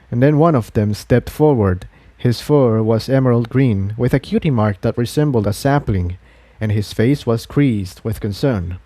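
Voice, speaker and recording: A man's voice: medium pitch, warm tone, no room sound. A man reading a story aloud as a scripted narrator. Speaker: fan fiction narrator.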